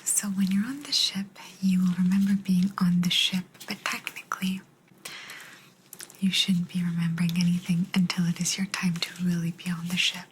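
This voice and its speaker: A woman playing a gentle, patient alien abductor in a soft, breathy ASMR whisper, confiding closely in the listener. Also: fairly low pitch, level tone, normal speed, a little hiss or hum, natural voice, rough recording. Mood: confiding, concerned. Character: gentle, apologetic female alien abductor explaining a simulation.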